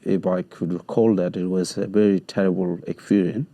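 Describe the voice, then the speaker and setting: Guy being interviewed, low dark voice, slow halting answers, dry sound. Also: steady tone, gravelly, no echo, lo-fi sound. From South Sudan. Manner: measured, halting, with non-native grammar. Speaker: guest, community leader.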